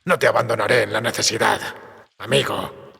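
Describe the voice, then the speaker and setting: Gruff male monster hunter for audiobook drama: forceful, deep fry, dry and serious, long reverb. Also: fairly quick, heavily acted. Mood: serious, resolved. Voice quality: gravelly, husky. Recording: cave-like reverb.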